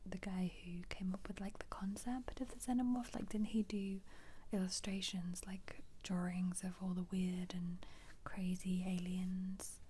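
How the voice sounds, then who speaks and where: A woman speaking in a breathy, unvoiced whisper close to the mic, gently musing in a casual ASMR commentary. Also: fairly low pitch, flat delivery, unhurried. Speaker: ASMR video creator.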